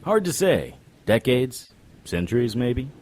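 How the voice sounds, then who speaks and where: An actor playing a wry, unflappable occult detective, narrating an audio drama in a dry noir style. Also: male voice, medium pitch, sing-song, slow, darkish tone.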